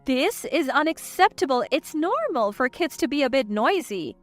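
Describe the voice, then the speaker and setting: A woman's voice: sits high, very animated, moderate pace, faint music behind. A woman playing an indignant, hurt young mother in a narrated story, emphatic and reproachful.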